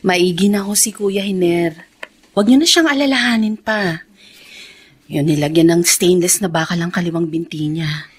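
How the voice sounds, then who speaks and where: A woman acting a kind, soft-hearted daughter with a husky voice and a Filipino accent in a radio drama. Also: fairly low pitch, normal speed. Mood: caring, hesitant.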